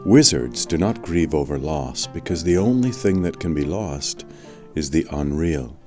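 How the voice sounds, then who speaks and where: A man narrating a spiritual audiobook in a measured, deliberate scripted style. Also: rumbling low, lively intonation, darkish tone, faint music behind.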